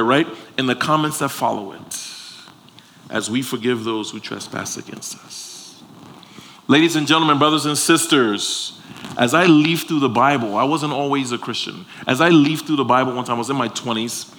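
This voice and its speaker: A man's voice: mid-range voice, fairly bright tone. A man from Liberia in West Africa preaching a sermon to a congregation, emphatic, repeating phrases and posing rhetorical questions. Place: live before a congregation. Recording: clear background noise, live room ambience.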